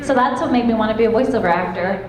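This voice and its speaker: A young woman voice actress chatting and laughing on a convention panel, with a self-described soft voice. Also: on the high side, rapid-fire, a crowd in the background. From Los Angeles, California. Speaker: guest voice actress. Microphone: handheld panel mic. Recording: echoey room, clear background noise.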